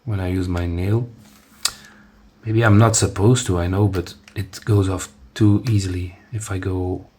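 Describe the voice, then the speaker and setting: Casual male reviewer, Dutch first language, lowish voice, halting, full of restarts. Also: steady tone, a little mellow, slight background noise. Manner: rambling, many restarts and fillers, demonstrating as he talks. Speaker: phone reviewer. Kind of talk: casual hands-on product review.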